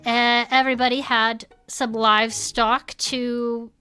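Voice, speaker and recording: Earnest small-town cryptid club gal spinning monster lore, high and bright, folksy, music behind. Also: female voice, level tone, takes it slowly, smooth. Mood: earnest, explanatory. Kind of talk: conversational audio fiction storytelling.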